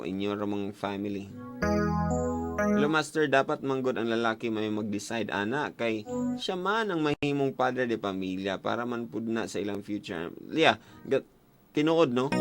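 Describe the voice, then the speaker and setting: A man with a Bisaya Filipino accent hosts a casual call-in advice show, slipping English phrases into his talk. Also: fairly low pitch. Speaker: radio show host. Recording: loud music bed behind voice. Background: music.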